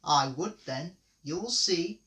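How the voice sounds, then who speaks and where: A man's voice: a little high, animated, slow, bright, forceful tone, echoey room, recorded from a distance, full character voice. A man voicing a conceited, cheeky little tank engine who boasts and schemes. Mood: cocky boastful.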